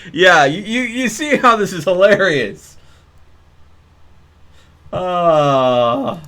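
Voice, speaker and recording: A man's voice: high-pitched, sharp tone. A man live-streaming a game, chatting casually with viewers and thinking aloud.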